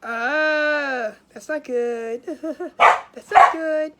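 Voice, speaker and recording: A woman's voice: high-pitched, drawn-out words, very noisy. A woman hosting a casual craft livestream from home, sounding nasal and congested, chatty and scattered, with frequent laughs and restarts.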